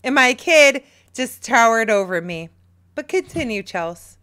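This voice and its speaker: A woman in her thirties co-hosting a casual podcast, chatty, teasing and animated. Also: fairly high pitch, unhurried, smooth. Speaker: podcast co-host. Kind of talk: casual chat.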